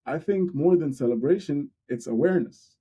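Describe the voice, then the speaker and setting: Mellow-voiced young man, dull lo-fi sound. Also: fairly high pitch, moderate pace, slightly rough. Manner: relaxed, candid, repeats words while thinking. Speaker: podcast co-host. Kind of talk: casual podcast discussion.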